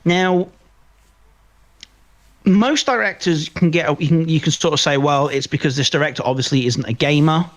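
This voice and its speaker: A man's voice: on the high side, moderate pace, long pauses. A man co-hosting a podcast, giving opinionated, emphatic film commentary in casual chat, often repeating phrases for stress. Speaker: podcast co-host. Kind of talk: casual film review discussion.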